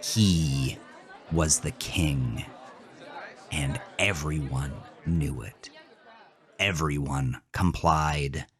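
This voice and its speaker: A man hosting and narrating a scripted horror story in an intense, deliberate, dramatic way. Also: a bass voice, husky. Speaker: host, author and narrator.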